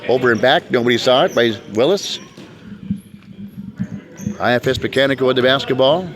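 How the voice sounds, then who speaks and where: A man announcing basketball play by play in a brisk manner, calling the action as it happens. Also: medium pitch, heavy background noise. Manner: brisk, talks over the action. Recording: loud crowd and bouncing balls behind. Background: gym crowd and basketball bounces.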